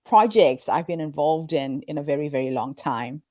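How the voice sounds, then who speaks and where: Woman in her 50s from zimbabwe, lowish voice, dry close sound, earnest and upbeat. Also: lively intonation, normal speed, no room sound. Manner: earnest, upbeat storytelling with warm laughs. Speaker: guest, founder of a women's story platform. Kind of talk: conversational interview answers.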